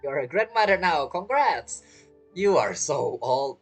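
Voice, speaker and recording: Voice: medium pitch, sing-song, a little slow, husky. A gamer giving casual gameplay commentary with informal, muttered asides. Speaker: gaming video creator. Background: game music.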